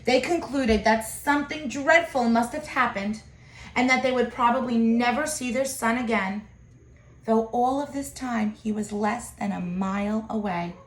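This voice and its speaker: High-voiced woman reading a storybook aloud, echoey. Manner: expressive, pausing to comment to listeners. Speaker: storybook reader. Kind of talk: children's picture book read-aloud with asides. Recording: echoey room.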